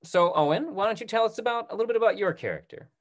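A man in his thirties moderates an informal online panel. His voice is a little high for a man and moves around a lot in pitch. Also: lively intonation, very fast. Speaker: panel host. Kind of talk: casual moderated Q&A. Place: indoor, on a video call. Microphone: video call microphone.

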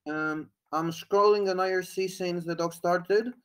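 A man's voice: high voice, fairly even intonation, measured pace, fairly bright tone, clean tone. Young male speaker, tentative, filler-heavy, plain voice. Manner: hesitant, tentative, many fillers. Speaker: conference speaker. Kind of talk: answering audience questions.

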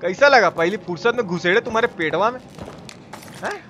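A man's voice: sits high, lively intonation, a little fast, sharp tone. A man from India with a Bihari accent gives casual, colloquial live gameplay commentary peppered with swearing. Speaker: game streamer. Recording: clearly noisy background.

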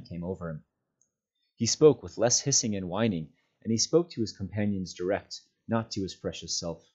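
A man reading a novel aloud as a volunteer storyteller, with expressive, dramatic narration. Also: fairly low pitch, breathy edge, muffled recording. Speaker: volunteer community reader.

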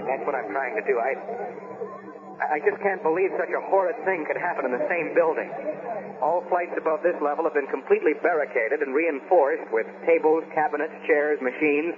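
Male actor as shaken American field reporter, highish voice, stammering, horrified, 1970s radio drama, thin phone-like sound. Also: normal speed, close to an everyday voice. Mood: horrified, panicking. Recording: tinny band-limited 1970s radio recording.

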